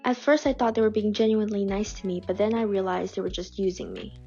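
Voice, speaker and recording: A woman reads personal accounts aloud in a calm, measured voice. Her pitch sits in the middle, and the recording is dull and lo-fi. Faint music plays underneath. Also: vocal fry, husky. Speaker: narrator reading submitted stories. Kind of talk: scripted reading.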